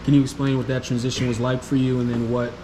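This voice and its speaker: A man's voice: medium pitch, flat delivery, quick pace, background noise. A man hosting a casual interview, asking questions in an easygoing way with short affirmations. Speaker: interview host.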